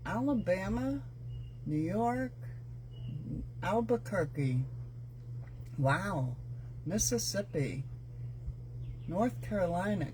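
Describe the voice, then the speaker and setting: A woman hosting a live craft stream speaks in an unhurried, drawn-out way. She chats casually with her viewers and a guest, stopping often on small fillers. The sound comes through a computer mic on a live video call. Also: lowish voice, darkish tone, a little hiss or hum, rough recording. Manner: chatty, reads out viewers' locations, halting with fillers.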